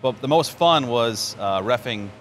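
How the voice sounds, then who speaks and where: A man's voice: mid-range voice, lively intonation, measured pace. A man from New York with a mid-range voice speaks in an interview. He tells stories in a conversational way, like a working sports announcer off the air. Faint outdoor ambience sits behind him. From New York, United States. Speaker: baseball radio play-by-play announcer. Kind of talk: conversational interview answers, storytelling. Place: outdoors at a spring training ballpark. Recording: clear background noise, outdoor ambience.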